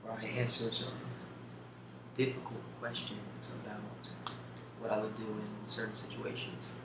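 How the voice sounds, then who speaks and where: A man speaking as an ASMR video host, answering questions off the cuff in a hesitant, thoughtful way with long pauses. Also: lowish voice, monotone. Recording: roomy, distant, clearly noisy home recording.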